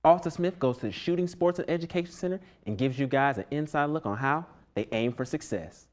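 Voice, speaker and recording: A young man anchoring a student newscast with steady, scripted delivery. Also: a little high, a little fast. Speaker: news anchor.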